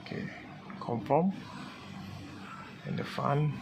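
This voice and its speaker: Slow, halting Malaysian man with background noise. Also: a little high, dull audio. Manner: halting, clipped short phrases with simple English. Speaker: car audio installer demonstrating a product. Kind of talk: informal product demonstration. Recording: noisy room with clear background noise.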